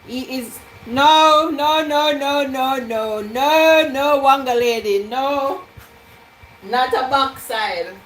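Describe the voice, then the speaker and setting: Slow-talking playful woman cooking live. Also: high-pitched, a bit bright, slight background noise, low-quality recording. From Jamaican heritage. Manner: playful, teasing, joking with chat. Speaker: cooking livestream host. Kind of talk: casual live cooking chat, reading out viewer comments. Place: home kitchen.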